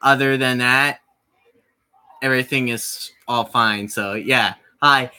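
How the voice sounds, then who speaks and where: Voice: medium pitch, steady tone, slow pace, sharp tone, clear, resonant tone. A streamer chatting casually with viewers while playing a visual novel, rambling with many restarts and apologies.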